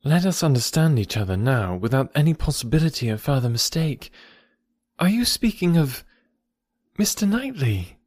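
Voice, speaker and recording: A man voicing a poised, articulate young gentlewoman with a measured, formal and earnest manner, in a classic novel audiobook. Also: fairly high pitch, very animated, dry recording, studio quality, voice pushed up. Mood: resolute, tense.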